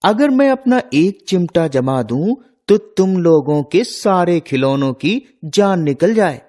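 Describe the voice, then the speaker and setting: A woman's voice: on the low side, animated, slow pace, mellow, faint music behind. A woman voicing a brave, caring young village boy in a children's story. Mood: proud, boastful.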